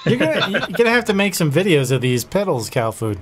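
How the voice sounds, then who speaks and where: A man in casual panel chat, measured and a little hesitant. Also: fairly high pitch, very animated. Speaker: panelist, synth reviewer. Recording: faint background noise on call.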